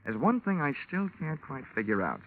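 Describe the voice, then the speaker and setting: An actor playing a wisecracking, shrewd insurance investigator in a 1950s radio detective show, with sardonic, punchy, slangy delivery. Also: male voice, fairly high pitch, very animated, moderate pace. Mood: sardonic, probing. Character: wisecracking hard-boiled male insurance investigator, brash and shrewd. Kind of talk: 1950s radio detective acting and first-person narration. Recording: muffled, hissy old radio recording.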